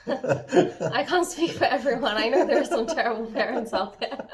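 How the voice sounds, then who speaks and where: A woman's voice: a little high, expressive, normal speed, a crowd in the background. A woman co-hosting a casual chat, talking in a rambling, self-deprecating way and laughing often. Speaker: co-host.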